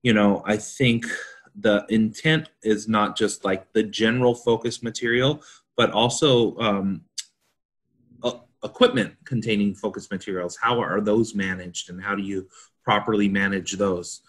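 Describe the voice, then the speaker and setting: A man's voice: lowish voice, steady tone, a little slow. A man speaking as a consultant on a panel, explaining requirements in an informal discussion.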